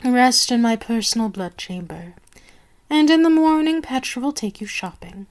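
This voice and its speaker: A woman voicing a haughty, commanding vampire queen in an ASMR roleplay, speaking with deliberate, condescending authority. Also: fairly high pitch, animated, close to an everyday voice. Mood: commanding, indulgent. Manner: slow, imperious, deliberate, condescending. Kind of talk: ASMR roleplay monologue to the listener.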